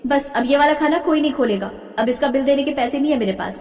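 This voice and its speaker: A woman playing an exasperated, hard-working daughter-in-law in an animated family drama, aggrieved and emphatic. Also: sits high, quick pace, close to an everyday voice. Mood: indignant, determined. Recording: echoey hall-like reverb.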